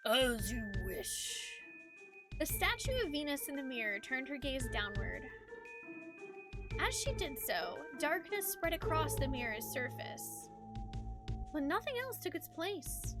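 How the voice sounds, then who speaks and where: Female game streamer, very high and lively voice, expressive chat and read-aloud narration, music underneath. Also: a little slow. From United States. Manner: animated, expressive, chatty. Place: indoors, game livestream with music behind.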